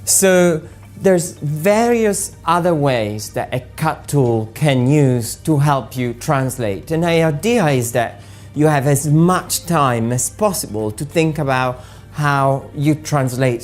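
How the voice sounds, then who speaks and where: Very low-voiced woman explaining. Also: animated, takes it slowly, a little mellow, faint music behind. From Italy. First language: Italian. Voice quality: patient, explaining step by step with frequent ums. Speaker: software presenter. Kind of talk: explanatory talk about translation software.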